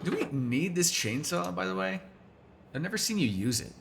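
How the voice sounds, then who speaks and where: A man's voice: sits high, very animated, normal speed, creaky, noisy background, exaggerated voice. A man playing a sardonic, teasing, foul-mouthed vampire in an ASMR roleplay, talking casually to one listener. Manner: casual, teasing, sarcastic, swears freely.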